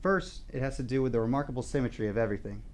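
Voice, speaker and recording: A man's voice: mid-range voice, lively intonation, racing, natural voice. A man performing a dramatic monologue as an earnest, philosophical sports devotee, reflective and rhetorical.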